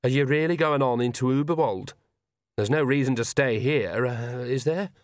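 A man's voice: medium pitch, normal speed, dry recording, studio quality, close to an everyday voice. A man voicing a self-conscious, hesitant young witch woman with a small voice, in an audiobook. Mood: nervous. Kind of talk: audiobook character dialogue.

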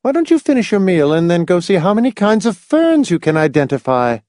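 A woman's voice: lowish voice, sing-song, a little fast, warm tone, dry recording, clean studio sound, voice pushed up. A man reading a story, voicing a fussy, gushing, complacent old woman with a prim, matter-of-fact manner. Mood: genteel fussing. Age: older.

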